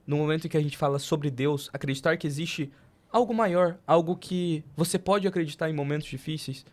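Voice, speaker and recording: A man's voice: fairly high pitch, a little fast. A man with a Brazilian accent hosting a podcast in casual conversation. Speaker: podcast host.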